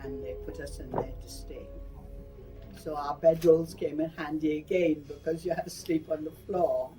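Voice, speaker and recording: A woman's voice: low-pitched, steady tone, normal speed, over music, poor audio. An older woman from Burma giving a personal reminiscence in a plain-spoken, emphatic way. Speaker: interviewee recalling wartime life.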